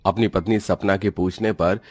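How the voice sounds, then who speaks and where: A man's voice: low-pitched, steady tone, normal speed, no echo, clean studio sound. A man reading scripted narration for a cartoon story.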